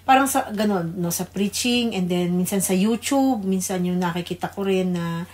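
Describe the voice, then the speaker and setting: A woman with a Filipino accent sharing in an unscripted devotional talk, halting as she thinks aloud. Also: fairly low pitch, darkish tone.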